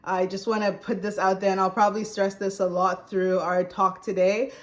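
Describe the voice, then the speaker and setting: A woman's voice: mid-range voice, little pitch movement, normal speed. A woman in her twenties from Zambia, chatting casually as an interview guest, often saying "like" and "you know". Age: 20s. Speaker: interview guest, recruiting manager. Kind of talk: casual personal interview storytelling.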